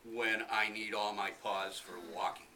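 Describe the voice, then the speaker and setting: A man voicing greedy, boastful tigers in a storybook, loud and showy, recorded from a distance with faint noise. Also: on the low side, level tone, a little slow. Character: boastful greedy male tigers, big and menacing.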